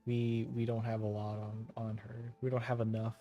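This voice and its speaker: A man's voice: lowish voice, monotone, moderate pace, lo-fi sound, loud music behind the voice. A man giving casual, rambling live commentary while streaming a game, muttering to himself.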